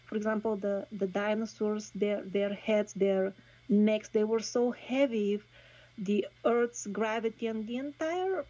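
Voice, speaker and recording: A woman from Bulgaria, a guest speaking in a thoughtful, halting way with many pauses and restarts. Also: medium pitch, steady tone, warm tone, highs cut off. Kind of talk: casual interview talk.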